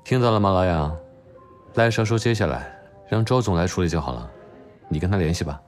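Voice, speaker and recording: An actor playing a cool young male doctor in an audio drama, gruffly teasing in a dry, blunt, exasperated manner. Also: low voice, animated, brisk, faint music behind. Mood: calm, matter-of-fact. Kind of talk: Chinese audio drama acting.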